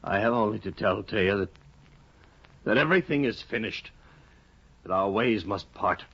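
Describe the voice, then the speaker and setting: A man's voice: lowish voice, normal speed, husky. An actor in a 1950s radio play, voicing a despairing, ruined male scholar who broods bitterly and breaks into anguished outbursts. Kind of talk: 1950s radio drama acting. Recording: dull 1950 radio broadcast.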